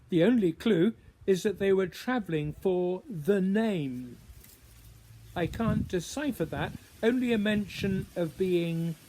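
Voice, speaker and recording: Male poet for a spoken reading: unhurried, musing delivery with pauses, slightly high voice, some background noise. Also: mellow. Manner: deliberate, pausing between short phrases, musing questions. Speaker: writer reading own poem. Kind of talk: introduced poetry reading, measured recitation.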